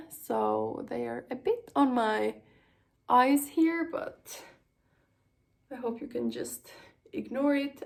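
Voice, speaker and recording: A woman's voice: a little high, creaky. A young woman from Finland hosting a knitting podcast, chatting casually with fillers and small laughs while her voice recovers from an illness. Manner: relaxed, rambling, many fillers and small laughs. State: voice still recovering from a cold. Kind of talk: casual chat to camera.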